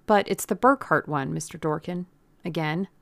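A woman's voice: low voice, moderate pace, vocal fry, fairly bright tone, dry recording. Audiobook young office girl, read by a female narrator: sweet, earnest, pleading, recorded dry and close. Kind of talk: audiobook character acting.

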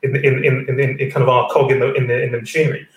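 A man's voice: medium pitch, little pitch movement, fast. A man hosting a casual webinar, rambling with lots of fillers and "kind of" hedges. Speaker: stand-in host. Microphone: video call. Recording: roomy sound, distant from the mic.

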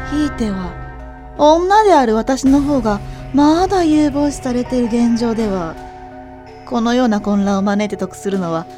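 A woman's voice: mid-range voice, close to an everyday voice. A woman playing a proud, shrewd noble elder sister in a voice drama, haughty, theatrical and formal. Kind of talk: voice drama acting.

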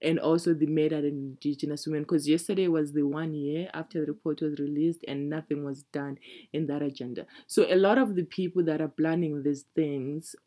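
A woman from Zimbabwe in a casual conversation, speaking in a reflective, measured way. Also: low voice, fairly even intonation, warm tone.

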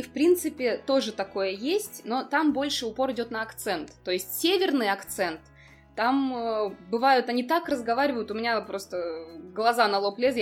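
A young woman in a casual conversation, explaining at length with lots of fillers. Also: on the high side. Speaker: interview guest.

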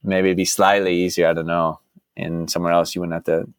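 A man's voice: low-pitched, little pitch movement, brisk, smooth, dry recording, clean studio sound. A man from Dallas, a guest in a casual interview, rambling with lots of "like" and restarts. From United States (Dallas). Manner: rambling, heavy use of "like" and restarts. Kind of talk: casual conversation.

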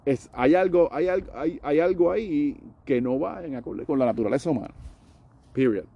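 A man's voice: on the high side, moderate pace, highs cut off. A man with a Puerto Rican accent talking in a casual debate, emphatic, mixing English words and swearing into his Spanish.

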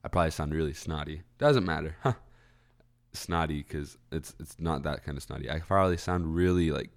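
A man's voice: rumbling low, fairly quick. A young man with a stuffy, congested voice hosting a college radio show, chatting casually and correcting himself as he rambles. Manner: rambling, self-correcting, clears throat. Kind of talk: casual solo podcast chat. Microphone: studio microphone.

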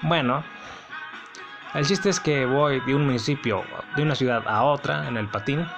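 A man's voice: medium pitch, moderate pace, gravelly, music in the background. A man with a Mexican accent hosting a casual solo podcast, telling a personal story in a rambling, sardonic, blunt way. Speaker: podcast host.

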